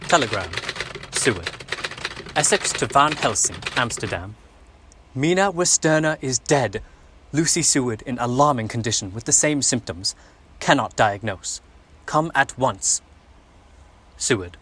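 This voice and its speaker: A man's voice: medium pitch, animated, fairly bright tone, husky. A man playing a formal, authoritative Victorian doctor and worried father in a radio drama. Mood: clipped, formal. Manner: formal, measured, commanding. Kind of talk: stage radio drama acting.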